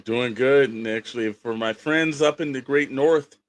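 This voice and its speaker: A man's voice: mid-range voice, normal speed, no echo. A man co-hosting a podcast with casual banter and easygoing ad reads. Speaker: podcast co-host.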